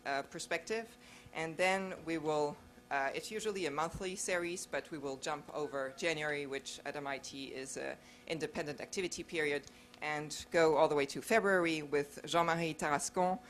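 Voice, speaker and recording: A woman with a French accent, a native French speaker, hosting a seminar and introducing a speaker in a halting, formal way in a lecture room. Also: low-pitched, a bit bright. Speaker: seminar host.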